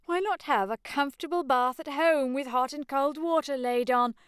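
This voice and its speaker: A woman's voice: high-pitched, normal speed, dry recording, clean studio sound, voice pushed up, lightly acted. A woman voicing a fussy, flustered older mother who exclaims in prim, scandalized outbursts. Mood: scolding.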